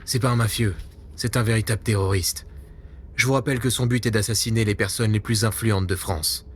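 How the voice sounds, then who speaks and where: A man's voice: lowish voice, steady tone, brisk, a little hiss or hum, close to an everyday voice. A man playing a weary, self-doubting veteran secret agent in a spy audio drama, earnest and brooding. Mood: earnest, defensive.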